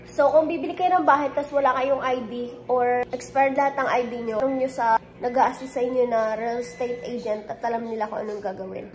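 A woman in her thirties with a Filipino accent, chatting casually and playfully to her vlog followers, recorded on a handheld phone at home. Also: fairly high pitch. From Philippines. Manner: chatty, informal, playful asides. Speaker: vlogger. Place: indoors at home, kitchen and living area. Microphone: phone at arm's length.

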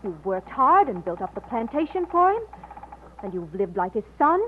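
A woman's voice: mid-range voice, very animated, moderate pace, lightly acted. An actress playing an ardent, determined young woman in love, earnest and melodramatic, in an old-time radio romance. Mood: anxious, insistent. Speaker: radio drama actor. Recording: scratchy old radio, narrow muffled band.

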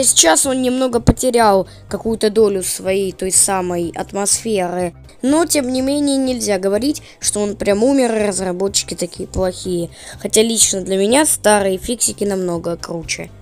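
A woman's voice: high-pitched, creaky, darkish tone. A woman giving casual, chatty voice-over commentary in an informal, opinionated way. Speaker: video creator and narrator. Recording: music under the voice. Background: background music.

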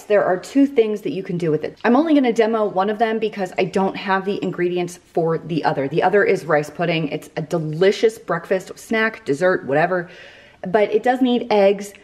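A woman's voice: on the low side, darkish tone. Chatty woman hosting a cooking vlog. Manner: chatty, laughs at herself. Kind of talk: casual cooking demonstration. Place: home kitchen.

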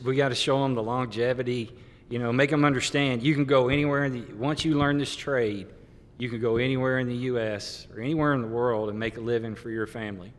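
Man, middle-aged, contractor, emphatic, plain-spoken, long hall reverb. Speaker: contractor panelist. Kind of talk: panel discussion. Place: conference hall stage panel. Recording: reverberant conference hall, faint rumble.

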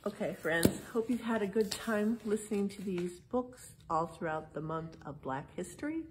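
A middle-aged woman reading a picture book aloud to children in a museum hall, spelling out each page title and then explaining it gently. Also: mid-range voice, animated, moderate pace, noisy background. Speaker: museum storytime reader. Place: indoor museum exhibit hall.

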